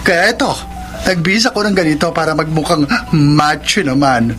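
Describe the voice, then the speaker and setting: A man's voice: a little high, normal speed, a bit bright, close to an everyday voice. A man performs an effeminate young Filipino best friend for radio drama. He is breezy, girlish and full of teasing, with faint music under the voice. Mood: breezy, campy.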